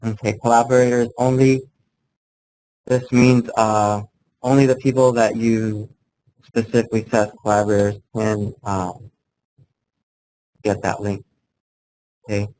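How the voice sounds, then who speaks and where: A man giving an informal instructional talk as a software trainer, explaining plainly in a halting way and checking in as he goes. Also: on the low side, flat delivery, dry recording, poor audio. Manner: halting, plain-spoken explaining, often checking in with "okay" and "right". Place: indoor room, live talk to colleagues. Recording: clearly audible background room noise.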